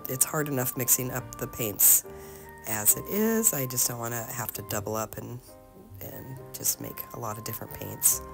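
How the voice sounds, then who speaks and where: Very low-voiced, slightly hoarse woman. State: slightly hoarse from being sick. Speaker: host of an art tutorial channel. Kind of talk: casual painting tutorial narration.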